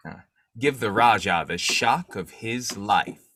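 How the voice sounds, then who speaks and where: A man playing a shrewd, determined newspaper boss and secret vigilante in a 1940s-style radio drama, musing deliberately. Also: fairly low pitch, expressive, a bit bright, slight background noise, heavily acted. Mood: scheming, musing. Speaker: actor in an old-time radio drama revival.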